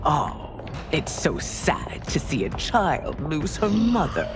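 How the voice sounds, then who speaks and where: A woman's voice: low voice, very animated, slow pace, exaggerated voice. A woman playing a regal, commanding warrior empress and mother in a dramatic video game cutscene, with stately delivery. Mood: defiant, haughty. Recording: loud music behind the voice.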